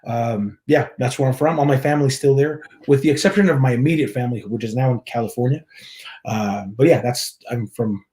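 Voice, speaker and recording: A man's voice: medium pitch, fairly quick, a little mellow. Chatty man from Mexico, restarts often. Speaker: church worship director, guest. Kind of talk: casual interview chat.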